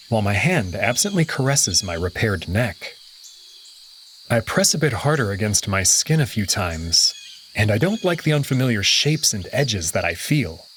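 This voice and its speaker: A man's voice: lowish voice, a bit bright, slight background noise. A man giving reflective first-person narration of a visual novel, voicing its lead character.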